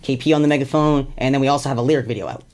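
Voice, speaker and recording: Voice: medium pitch, very fast, darkish tone. A music artist from Canada with a Canadian accent, chatting casually in an interview in an earnest, talkative way. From Hamilton, Canada. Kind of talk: casual chat.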